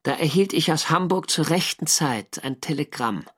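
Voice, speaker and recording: An actor playing a reflective naval lieutenant, narrating a war diary in a measured, sober literary style. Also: male voice, fairly high pitch, expressive, husky. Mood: melancholy, sober. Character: reflective German naval lieutenant recalling the war's end.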